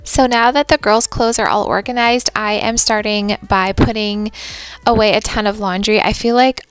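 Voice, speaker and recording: Woman in her 30s, friendly voice-over for a home vlog, smooth and dry. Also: medium pitch, steady tone, moderate pace, dry recording. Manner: friendly, chatty, light laughs. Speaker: vlogger and mom. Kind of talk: voice-over narration.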